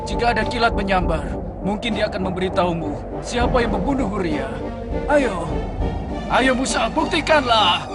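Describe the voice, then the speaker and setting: A man's voice: high-pitched, animated, normal speed. A man voicing a jeering villager in a cartoon who sneers at the prophet. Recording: loud music underneath.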